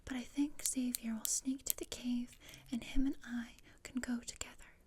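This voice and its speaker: A woman playing a morbid, bossy gothic teenage girl in a breathy, deadpan ASMR roleplay whisper, close to the microphone. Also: fairly high pitch, moderate pace, close to an everyday voice. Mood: scheming, bossy. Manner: deadpan, dry, matter-of-fact. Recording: close-up with clear noise and clicks.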